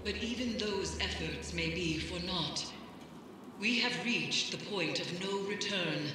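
A woman's voice: fairly low pitch, slow pace. Game role: a solemn, ominous female mystic, very echoey, with noisy ambience behind. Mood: grim, foreboding. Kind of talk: scripted game dialogue.

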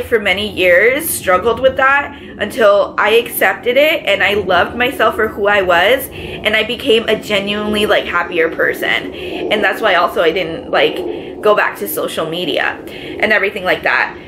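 Pregnant young woman vlogger, raspy allergy-clogged voice, rambling chatty delivery, faint room hum behind. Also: medium pitch, bright, forceful tone, rough recording. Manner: chatty, lots of filler words, gestures with both hands. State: congested from allergies. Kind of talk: casual chat to camera. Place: living room, seated on a couch. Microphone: camera in front, medium framing.

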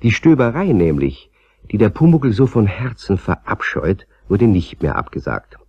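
A man's voice: fairly low pitch, very animated, moderate pace, darkish tone, breathy edge. A man narrating a scripted children's story as a storyteller. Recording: slightly dull, roomy old cassette recording.

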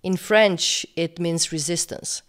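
A woman's voice: on the low side, steady tone, slow pace, smooth. Romanian woman host asking questions. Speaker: podcast host. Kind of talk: interview questions. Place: room with shelves. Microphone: studio microphone with headphones.

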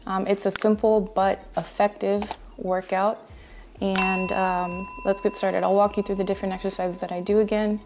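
A woman's voice: medium pitch, flat delivery, normal speed, background noise. A woman in her thirties giving a casual, conversational walkthrough of exercises as a fitness vlogger, recorded in a room at home. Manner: conversational, explanatory. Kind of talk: casual instructional voice-over explaining exercises.

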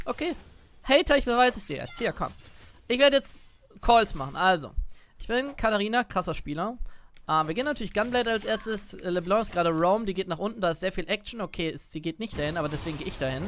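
A man's voice: sits high, a bit bright, a little hiss or hum. A man in his twenties chatting casually to viewers in a rambling way as he comments on his gameplay, close to the mic. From born Italian. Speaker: gaming streamer. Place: indoor room at a gaming setup. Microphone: close desk microphone, wearing a headset.

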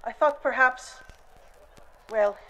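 A woman's voice: fairly high pitch, slow pace, a bit bright, rough recording. A woman playing a regal, protective Amazon queen and mother in a 1940s-style radio serial, formal and declamatory. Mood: shocked, resigned.

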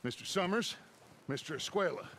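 American western gang boss for a game, grandiose, gravelly, kinda high for a man, noisy outdoor sounds behind. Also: lively intonation, moderate pace, a bit bright. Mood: commanding. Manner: grandiose, authoritative. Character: commanding male outlaw gang leader, vengeful and theatrical. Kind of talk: video game dramatic acting.

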